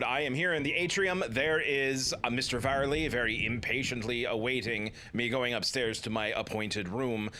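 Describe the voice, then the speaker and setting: A man's voice: mid-range voice, sharp tone, slight background noise. A man in his thirties hosting a let's play, joking and commenting casually as he plays. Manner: playful, jokey, slips into silly voices.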